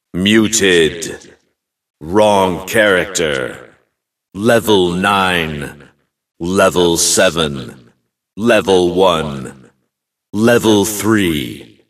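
A deep fantasy game announcer, solemn and commanding. He delivers short, clipped callouts at a very slow pace, sounding set back from the mic. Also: a bit bright, recorded from a distance, full character voice.

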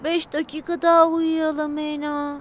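A woman voicing an eager, naive little boy city bus in a children's cartoon, childlike and expressive. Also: high-pitched, unhurried. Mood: pleading, whiny. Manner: childlike, expressive, whiny when pleading. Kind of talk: animated children's cartoon dub. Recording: music behind the voice.

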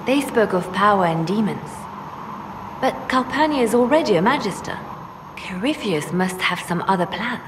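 An actress playing a hooded female spymaster in a fantasy video game, speaking in a measured, persuasive way. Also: medium pitch, expressive, in a large hall, noisy background, lightly acted. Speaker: advisor.

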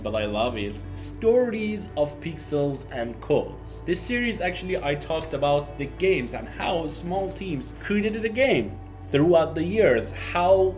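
Higher-pitched young Persian-accented man. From Iran. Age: young adult. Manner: chatty, halting non-native English. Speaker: YouTube host. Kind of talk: casual chat to camera. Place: small home office, indoors.

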